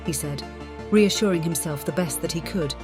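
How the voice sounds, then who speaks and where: A woman's voice: low-pitched, moderate pace. A woman reading scripted fiction as a dramatic audiobook narrator. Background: music.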